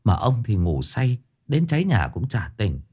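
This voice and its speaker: A man voicing a frightened older village wife who stammers and rushes her words. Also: fairly low pitch, very animated, darkish tone, husky, full character voice. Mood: fearful. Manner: stammering, hurried and fearful.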